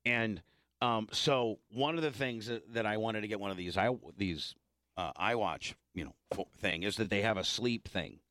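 A man hosting a radio show in casual banter, hoarse and rambling in stop-start bursts with self-deprecating asides. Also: lowish voice, normal speed, a bit bright, dry recording. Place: radio studio.

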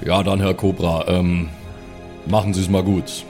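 Man playing a very deep-voiced, courteous tough adventurer. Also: level tone, a little slow, over music. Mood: courteous, dry. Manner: polite, understated, formal address.

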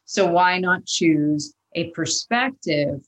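A woman's voice: lowish voice, expressive, takes it slowly. A woman in a casual, reflective podcast discussion, speaking in an earnest, encouraging way. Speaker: podcast panelist.

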